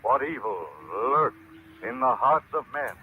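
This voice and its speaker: An actor playing a sinister, eerie mystery avenger in an old radio drama, speaking with slow, ominous menace. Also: male voice, medium pitch, animated, lightly acted. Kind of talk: 1930s radio drama acting. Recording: tinny, noisy old radio recording.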